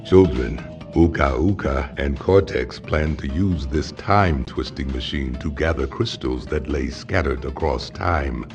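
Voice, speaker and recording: Ancient wise guardian spirit, extremely deep, slow, grave, fatherly, music behind, game cutscene. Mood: solemn, guiding. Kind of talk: video game cutscene voice acting.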